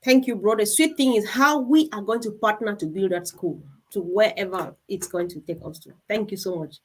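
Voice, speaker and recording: A woman's voice: mid-range voice, normal speed. A woman hosting a live online group discussion, speaking in an encouraging, effusively thankful manner. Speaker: host of an online team meeting.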